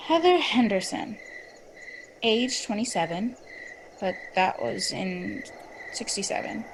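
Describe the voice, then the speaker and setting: A woman's voice: medium pitch, animated, takes it slowly, vocal fry, slight background noise, rough recording. A woman playing a bold, impatient young woman in a dramatic reading, with a blunt, dry, sardonic delivery. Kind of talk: dramatic reading, casual dialogue.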